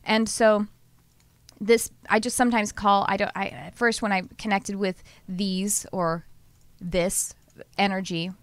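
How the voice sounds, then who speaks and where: Texan woman, podcast host, casual lively chat, frequent laughs, middle pitch. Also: no room sound. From Southern US. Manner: lively, laughs often, talks in quick bursts.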